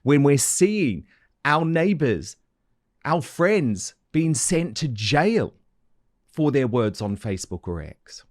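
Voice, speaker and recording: A man's voice: medium pitch, very animated, takes it slowly, dry recording, clean studio sound. A man hosting an interview and asking indignant questions. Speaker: host. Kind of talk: interview host.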